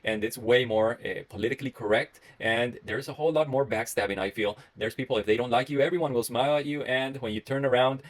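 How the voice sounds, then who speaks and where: A man from Costa Rica talks straight to camera in English with a Costa Rican Spanish accent. He speaks quickly at a mid pitch and rambles earnestly, with frequent filler sounds and restarts. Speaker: internal medicine resident. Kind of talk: solo reflective talk to camera.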